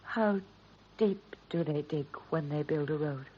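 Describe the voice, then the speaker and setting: An actress playing a worried, shrewd and loyal British wife in a period radio drama, earnest, deliberate and probing. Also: female voice, low voice. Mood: frightened, urgent. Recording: dull vintage radio recording, faint hiss.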